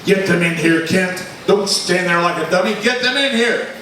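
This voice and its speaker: A man's voice: high-pitched, moderate pace, natural voice. A man playing a short-tempered, bossy newspaper editor in an old-time radio drama, barking in exasperation. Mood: irritated, bossy. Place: live stage recreation in a large hall. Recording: echoey hall from far off, noisy.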